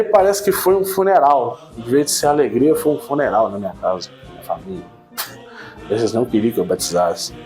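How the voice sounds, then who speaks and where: Man telling his story with faint music. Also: on the high side. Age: 30s. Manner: animated storytelling, frequent "né?" tags, swings between excited and subdued. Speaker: church member giving testimony. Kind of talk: casual personal testimony.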